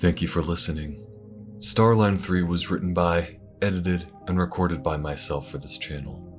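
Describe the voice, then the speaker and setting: Very deep-voiced man reading credits. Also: moderate pace, faint music behind. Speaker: audio roleplay writer and voice actor. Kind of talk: scripted outro thanks and credits read.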